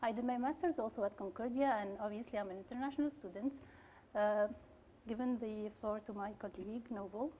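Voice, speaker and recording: A woman's voice: on the high side, a little fast, warm tone, slight background noise. A young woman, a PhD student, moderating a panel in a friendly way with some hesitant fillers. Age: young adult.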